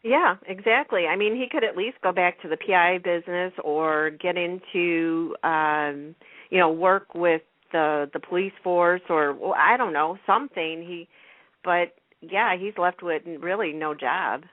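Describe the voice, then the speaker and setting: A woman's voice: on the low side, unhurried, no echo. A woman radio host in casual, chatty fan talk, rambling as she tells things. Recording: thin, phone-like radio line.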